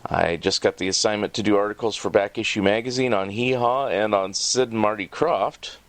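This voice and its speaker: A man's voice: on the low side, normal speed, no echo. A man in his fifties hosting a podcast, giving announcements and putting questions to a guest. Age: 50s. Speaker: podcast host.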